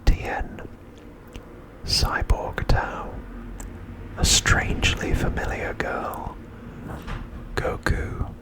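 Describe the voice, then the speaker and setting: A man narrating a script as ASMR in a breathy, unvoiced whisper, softly and deliberately, pausing often between phrases. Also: rough recording. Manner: soft, deliberate, with frequent pauses between phrases.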